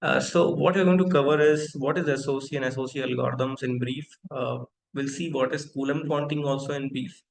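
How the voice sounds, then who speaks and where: A man's voice: mid-range voice, fairly even intonation, fairly quick, vocal fry, hardly any pauses, echoey room, slight background noise, low-quality recording. A man in his thirties hosting a live online technical lecture, talking interactively with many fillers and often asking the audience questions. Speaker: webinar host and company founder.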